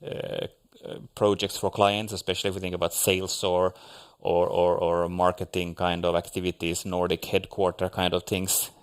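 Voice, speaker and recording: A man's voice: deep voice, flat delivery, moderate pace, few pauses, clear, resonant tone. A man from Finland speaking as a panelist in a seminar discussion, hesitant with many restarts.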